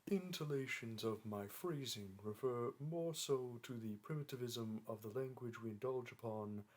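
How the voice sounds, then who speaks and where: A man's voice: medium pitch, moderate pace, close to an everyday voice. Man voicing a pompous, haughty dragon teacher. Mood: lofty disdain. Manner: pompous, archaic formal diction, disdainful. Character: haughty young male dragon teacher, condescending toward humans. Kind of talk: roleplay audio monologue to a listener.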